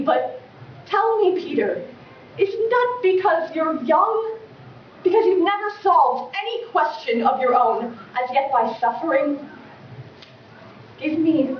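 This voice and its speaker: A woman acting a distraught, pleading aristocratic mother in a melodramatic classical stage monologue with dramatic pauses. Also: very high-pitched, heavily acted. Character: distraught, pleading aristocratic mother losing her beloved home. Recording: distant mic, echoey room, dull, faint noise.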